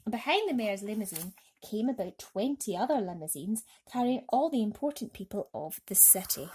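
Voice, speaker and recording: A woman's voice: mid-range voice, lively intonation, moderate pace, darkish tone, slightly rough. A woman narrating a children's bedtime story expressively. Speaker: storybook reader.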